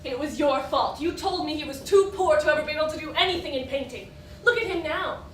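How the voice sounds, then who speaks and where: High voice, actress as bitter jealous inner self, female, stage play, blunt, impulsive, roomy distant recording. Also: a little fast, a little hiss or hum, natural voice. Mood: resentful. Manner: blunt, vehement, emotionally unrestrained. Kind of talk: theatrical stage acting. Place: indoor theatre stage, picked up from afar.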